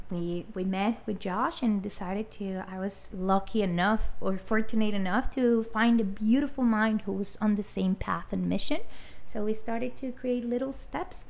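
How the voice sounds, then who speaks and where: Colombian-accented woman with a gravelly voice. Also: medium pitch. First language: Spanish. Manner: warm, halting, with fillers. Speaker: guest. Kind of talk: casual interview chat.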